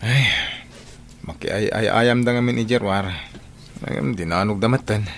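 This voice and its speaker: A man's voice: fairly low pitch, steady tone, slow. A man with a Filipino accent playing a put-upon husband and jeepney driver in a radio drama, with hesitant, mumbling delivery. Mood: weary, resigned. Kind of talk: Ilocano radio drama acting. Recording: clear background noise, vehicle sounds.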